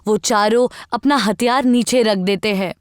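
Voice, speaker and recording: High-voiced woman narrating horror. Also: moderate pace, clean tone, no room sound, clean studio sound. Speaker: storyteller. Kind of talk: scripted horror story narration.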